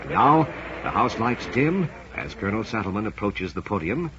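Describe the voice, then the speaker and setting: A dull, very noisy old radio recording carries an American man's voice. He announces a theater program with formal showmanship and a widely swinging, animated pitch. His scripted delivery is that of a classic mid-century radio host. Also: normal speed. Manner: formal, theatrical usher-like presentation.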